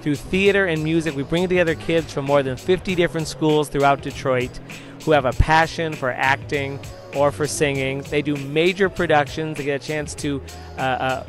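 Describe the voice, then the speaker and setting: A man's voice: a little high, moderate pace, soft background music. Earnest man giving a nonprofit testimonial. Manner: earnest, with repeated restarts. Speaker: nonprofit founder and CEO. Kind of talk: short testimonial interview. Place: event venue.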